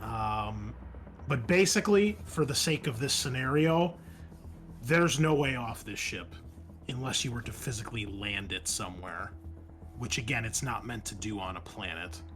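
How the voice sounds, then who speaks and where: A man explaining a tabletop roleplaying scenario as game master, speaking in a hesitant, halting way. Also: mid-range voice, animated, a bit bright. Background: background music.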